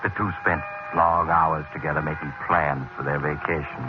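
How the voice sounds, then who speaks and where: A man's voice: extremely low pitch, fairly even intonation, normal speed. A man playing an ominous storyteller, narrating a suspense tale in scripted 1950s radio style. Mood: measured, ominous. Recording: muffled, noisy vintage radio recording.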